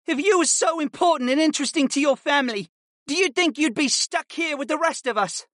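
Casting: small bitter underdog boy student man performing, very high voice, biting and venomous, fantasy audiobook. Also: a little fast, fairly bright tone, no room sound, clean studio sound. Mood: angry, venomous. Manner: spitting, biting. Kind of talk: audiobook character acting.

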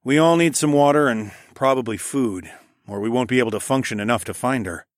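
A man acts out an assured leader in a fantasy audiobook. The lord talks plainly and reassuringly at a middle pitch, in a close, dry sound. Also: brisk, no room sound, lightly acted. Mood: practical.

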